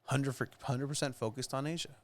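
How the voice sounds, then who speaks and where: A man with a Mexican accent, co-hosting a casual sports podcast, opinionated and animated. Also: mid-range voice, fairly quick. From San Diego area. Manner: animated, opinionated, stumbles over names. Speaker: podcast co-host.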